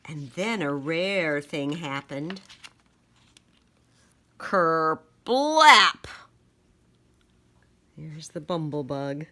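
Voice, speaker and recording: A woman reading a children's picture book aloud in a dramatic, deliberate storytelling manner. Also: deep voice, animated, bright, forceful tone.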